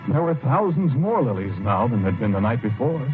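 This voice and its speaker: An actor playing a haunted, fearful husband in an old-time radio horror drama, speaking slowly and haltingly with brooding, heavy pauses. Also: male voice, medium pitch, big pitch swings, natural voice. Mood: sad, awed. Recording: muffled, tinny vintage radio recording with music. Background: loud music.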